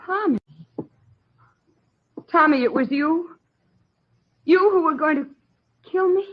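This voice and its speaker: An actress playing a frightened, tired, soft-hearted young woman, pleading dramatically in a radio drama. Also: high-pitched, slow. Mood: frightened, shocked. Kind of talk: 1950s radio drama acting. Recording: dull vintage radio recording, faint noise.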